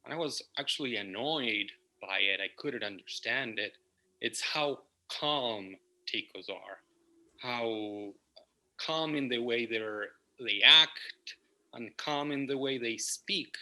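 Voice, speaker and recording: Bright-voiced Argentine man, unhurried. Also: mid-range voice, poor audio. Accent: Argentine Spanish. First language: Spanish. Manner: unhurried, reflective, with many restarts. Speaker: guest, property management partner. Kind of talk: casual interview answers over a video call. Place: home in the jungle. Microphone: video call.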